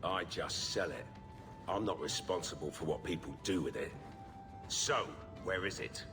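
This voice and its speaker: A man's voice: sits low, moderate pace, music in the background, lightly acted. A man playing a shifty, evasive smuggler, aggrieved and defensive, in a video game. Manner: defensive, exasperated. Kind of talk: video game dialogue acting.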